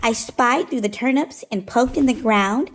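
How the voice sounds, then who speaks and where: Lady reading a kids picture book out loud, highish voice, sing-song n expressive. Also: moderate pace. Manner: rhythmic, sing-song storytelling of rhymed verse. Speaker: storytime host reading aloud. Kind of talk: expressive children's picture book read-aloud.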